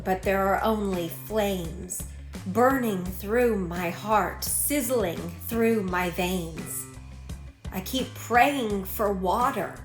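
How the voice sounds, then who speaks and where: A woman giving a dramatic recitation of poetry as a reader. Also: mid-range voice. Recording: echoey room, music behind.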